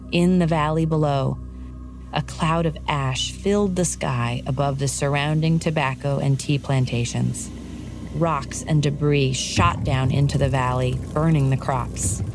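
Low-pitched woman telling a scripted story. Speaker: narrator. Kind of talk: scripted storytelling narration for children.

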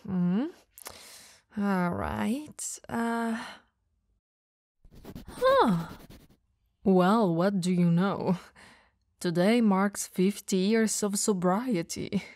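A woman's voice: medium pitch, expressive, extremely slow, long pauses, darkish tone, no echo. A woman playing a playful, clumsy, bloodthirsty female vampire who mutters theatrically to herself in an ASMR audio roleplay. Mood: groggy, gleeful.

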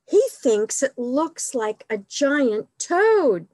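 A woman voicing an excitable little boy who talks in a high, eager and wondering way. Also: drawn-out words, no room sound, full character voice. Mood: matter-of-fact.

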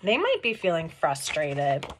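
A middle-aged woman reading a picture book aloud to young children, expressive and questioning, explaining things simply as she goes. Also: low voice, a little slow, slight background noise. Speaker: read-along storyteller for young children. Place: small room at home.

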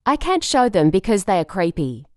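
A woman's voice: medium pitch, sing-song, moderate pace, mellow, no room sound, studio quality. A woman reading a scripted news bulletin as an anchor.

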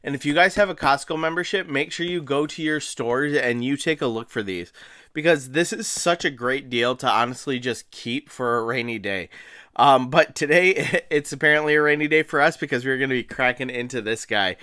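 A man hosting a card-opening video, chatting casually and a little hoarse from a cold, often restarting phrases and laughing as he talks. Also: mid-range voice, normal speed, bright, forceful tone, no room sound, studio quality. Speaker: card-opening channel host. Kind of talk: casual unboxing chat. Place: indoors at a table, hands on camera.

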